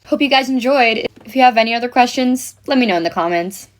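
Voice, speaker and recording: A woman's voice: fairly high pitch, quick pace. A woman in her twenties from South Africa with an American accent, chatting casually to her viewers as an influencer while getting ready. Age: 20s. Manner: chatty, upbeat, talking straight to viewers. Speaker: social media influencer. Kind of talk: get-ready-with-me vlog chat.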